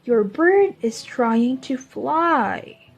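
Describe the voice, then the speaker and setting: Woman as a sensible mother mole, husky. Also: high-pitched, lively intonation, extremely slow, faint music behind, heavily acted. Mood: matter-of-fact.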